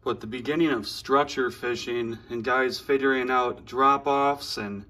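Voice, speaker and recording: A man, casually showing off his living room decor on a phone camera in a plainspoken, relaxed way. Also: mid-range voice. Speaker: fishing channel host. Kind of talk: casual show-and-tell vlog. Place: living room at home, indoors. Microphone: handheld phone camera.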